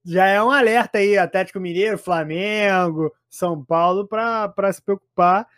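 A Brazilian man with a notably high-pitched voice talks football in a loose, slangy style. He is emphatic and repeats phrases to drive them home. The sound is dry, with very little room around it. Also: normal speed, a bit bright, dry recording. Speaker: football podcast commentator. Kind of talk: casual football chat.

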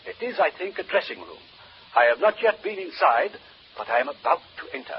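An actor playing a methodical doctor reporting on a haunted house investigation in formal, deliberate phrases, in an old radio horror play. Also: male voice, high-pitched, wildly swooping pitch, vocal fry, heavily acted. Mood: grave, intent. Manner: formal, precise, deliberate, pedantic. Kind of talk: old-time radio horror drama acting. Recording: muffled old radio recording, background hiss.

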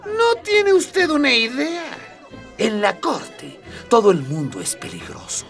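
An actor playing a pompous, scheming old prime minister in a radio drama, speaking with formal, ceremonious manners. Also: male voice, very high voice, very animated, fairly bright tone, distant mic, soft background music, full character voice. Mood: cautioning, courtly. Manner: formal, ceremonious, slow-spoken, throat-clearing.

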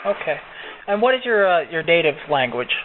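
A man calmly poses ridiculous survey questions in a straight, mock-official tone. His slightly high voice sounds narrow and phone-like, with a faint hiss underneath. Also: fairly quick. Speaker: prank caller. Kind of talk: prank phone call.

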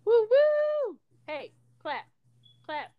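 Animated young woman podcast host. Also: extremely slow, lo-fi sound, very noisy. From Chicago, Illinois. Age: 20s. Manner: bubbly, laughs a lot, animated swings in pitch and volume. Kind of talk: casual chat.

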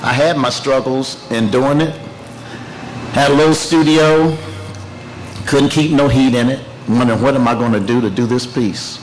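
An older man from Tennessee, giving an emotional, halting speech at the unveiling of his artwork. He often repeats phrases and pauses to collect himself. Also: medium pitch, fairly even intonation, slight background noise, low-quality recording. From Tennessee, United States. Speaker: artist unveiling his work.

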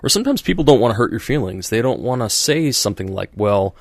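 A man's voice: medium pitch, very animated, fast, creaky, no echo. A man hosting a podcast in casual chat, rambling with many fillers and restarts and acting out quoted voices. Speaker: podcast host.

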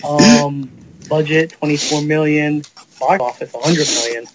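A man's voice: a little high, fairly even intonation, measured pace, crowd noise, rough recording. A man hosting a casual podcast, reading out facts in a conversational way. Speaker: podcast host presenting film facts.

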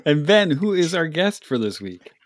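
A man's voice: on the high side, very animated, moderate pace, dry recording, studio quality. A man hosting a podcast, upbeat and welcoming in casual chat. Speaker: podcast host.

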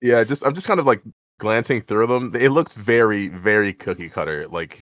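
Low-voiced man chatting, dry sound. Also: normal speed, a bit bright, dry recording. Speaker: podcast co-host. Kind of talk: casual chat.

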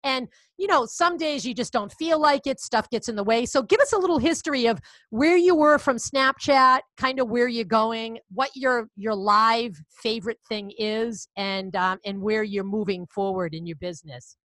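A woman's voice: on the high side, moderate pace, hardly any pauses, fairly bright tone, dry recording. A woman in her fifties with a Boston accent, hosting a podcast interview in a bubbly, gushing way. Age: 50s. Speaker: podcast host. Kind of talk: casual interview chat.

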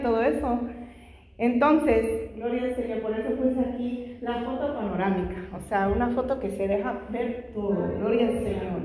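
A woman preaching a Bible study in Spanish, her second language, sprinkling in frequent hallelujahs and praises, fervent in prayer. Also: a little high. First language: not Spanish. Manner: frequent "aleluya" and "gloria a Dios" interjections; fervent prayer, louder and faster. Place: large echoey church hall. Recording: echoey hall with long reverb.

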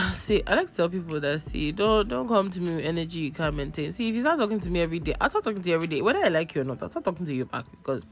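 A young Nigerian woman with a cold, losing her voice, hosting a podcast and telling a story in a chatty, animated way. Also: on the low side. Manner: chatty, animated, acts out texts in quotes. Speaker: podcast host. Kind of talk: casual storytelling.

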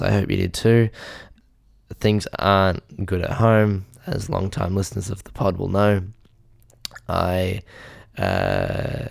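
A young man with a hoarse voice hosting a podcast, delivering a rambling, deadpan, self-pitying comedic monologue into a mic with a pop filter. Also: sits low, no room sound, clean studio sound. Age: young adult. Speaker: podcast host.

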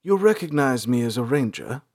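A man's voice: mid-range voice, very animated, normal speed, a little mellow, voice pushed up. A man voicing a shifty, confident male ranger with a severe, pointed manner in a fantasy audiobook. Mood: surprised. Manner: pointed, grave. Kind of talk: audiobook character dialogue.

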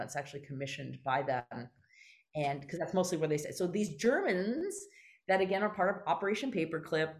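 Woman, slightly low-voiced interview guest; conversational, emphatic, prone to tangents. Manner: effusive, digressive, emphatic. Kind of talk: animated conversational interview talk.

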